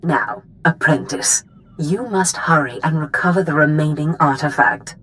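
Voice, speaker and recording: A woman's voice: sits low, unhurried, fairly bright tone, low-quality recording. A woman playing a haughty Sith master in a video game, speaking formally and with condescension to those beneath her. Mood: smooth, commanding.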